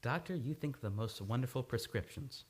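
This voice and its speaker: A troubled amnesiac husband, acted by a woman with an extremely low voice. He is wry and sardonic, delivered in old-time radio drama style. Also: lively intonation, moderate pace, breathy edge, full character voice. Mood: sceptical. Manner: wry, sarcastic. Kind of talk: live old-time radio play acting.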